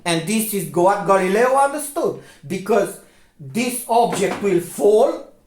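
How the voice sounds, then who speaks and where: A man with a Romanian accent, a native Romanian speaker, giving a lecturing commentary, insistent, often checking "okay?" and pausing on fillers. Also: sits high, expressive, reverberant, background noise. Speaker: video commentator.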